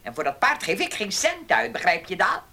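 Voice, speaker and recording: An actress playing a domineering, scheming farm mistress in a Dutch radio play, commanding and condescending. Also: female voice, medium pitch, sing-song, creaky, bright, forceful tone, heavily acted. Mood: scornful, scheming. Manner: commanding, condescending, deliberate threats.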